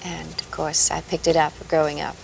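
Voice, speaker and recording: Gravelly-voiced woman guest, 1970s TV. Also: sits low, little pitch movement, normal speed, rough recording. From Minnesota, USA. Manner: gracious, anecdotal storyteller. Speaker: interview guest. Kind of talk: relaxed talk show interview. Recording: 1970s television broadcast, light hiss.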